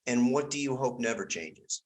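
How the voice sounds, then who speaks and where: A man's voice: mid-range voice, expressive, moderate pace. A man hosting an interview, asking thoughtful questions and rephrasing them as he goes. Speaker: interview host.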